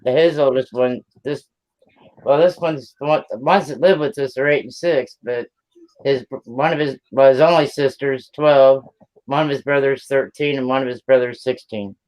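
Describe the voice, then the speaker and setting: A man's voice: medium pitch, normal speed, a little mellow, poor audio. A man in his fifties hosting a live stream, chatting casually in a rambling, plain-spoken way. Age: 50s. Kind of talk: casual chat.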